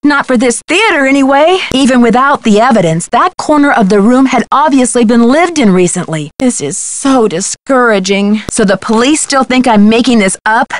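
A woman's voice: fairly high pitch, lively intonation, normal speed, few pauses, close to an everyday voice. A woman playing a plucky, determined young amateur detective in a video game, earnest and inquisitive. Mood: insistent, alarmed, then discouraged, weary. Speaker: voice actress in a detective adventure game. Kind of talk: scripted video game dialogue.

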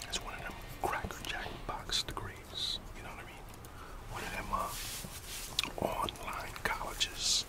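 A middle-aged American man playing a disgruntled, boastful employee gossiping conspiratorially in a whispered ASMR roleplay. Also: natural voice. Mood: disdainful, conspiratorial. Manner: conspiratorial, colloquial, hesitant pauses.

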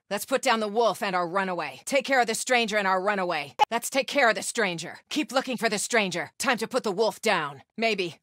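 A woman's voice: mid-range voice, a bit bright, no room sound, clean studio sound, natural voice. A woman voicing a relentless, menacing cult hunter in a video game, with curt, commanding calls. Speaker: enemy character in a video game. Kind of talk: video game combat barks.

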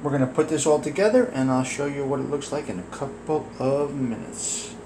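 A man's voice: mid-range voice, steady tone, brisk, mellow, a little hiss or hum. A man giving a casual cooking walkthrough in his home kitchen, chatting through his ingredients with fillers as he films overhead on a phone. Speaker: home cook vlogger. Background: music.

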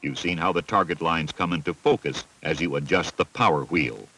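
An American man narrating a scripted instructional film in a clear, methodical, teacherly manner. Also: a bass voice, normal speed, bright, forceful tone, slight background noise, poor audio. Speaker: narrator of a military training film. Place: voice-over recording.

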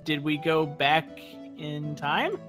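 A man's voice: fairly high pitch, slow, fairly bright tone. A young man making playful, casual banter with friends during a game. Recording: game music behind the voice.

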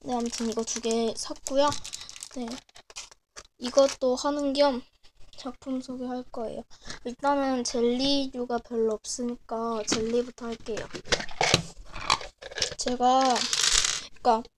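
A young woman with a slightly high voice, hoarse from a cold, clearing her throat again and again. She chats casually and corrects herself as she talks about her crafts. A faint hiss sits under the home recording. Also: little pitch movement, a little slow, long pauses, darkish tone. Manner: chatty, frequent throat clearing and self-corrections. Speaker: miniature crafts vlogger. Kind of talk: casual show-and-tell narration. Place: small indoor room at a desk. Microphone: camera over a desk, speaker off screen.

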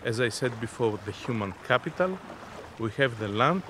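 A man in his fifties answering interview questions in a halting, deliberate, unscripted way. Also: mid-range voice, lively intonation. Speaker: interviewee, innovation zone representative. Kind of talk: spoken interview, unscripted explanation. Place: outdoors on a sea beach. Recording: outdoor seaside background noise.

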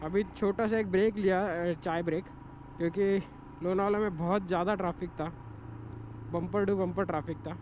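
A man's voice: sits high, fairly even intonation, normal speed, highs cut off. A man from India vlogging his motorcycle trip in casual talk that slips into English phrases, recorded outdoors by the road. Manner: mixes English time checks into casual Hindi. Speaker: motovlogger. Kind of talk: casual travel vlog narration. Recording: noisy roadside recording.

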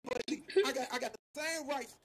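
A man's voice: very high-pitched, steady tone, brisk, a loud crowd. A man arguing heatedly and confrontationally in a casual online live-stream chat, repeating himself for emphasis.

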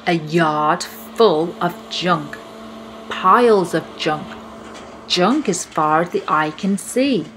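A young woman reading a children's storybook aloud in an expressive style, with deliberate, emphatic phrasing. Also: lowish voice, rough recording. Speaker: children's book reader.

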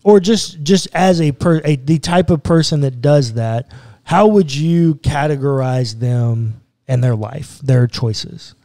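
A man in his thirties, a pastor, earnestly explaining a Bible passage step by step in a podcast conversation, close to a boom mic in a small studio. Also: slow pace, warm tone, no room sound. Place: small studio room with bookshelves.

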